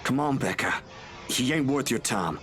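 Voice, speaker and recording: A man's voice: mid-range voice, animated, normal speed, fairly bright tone, natural voice. A man voicing a loyal, protective young friend in a video game, casual and concerned.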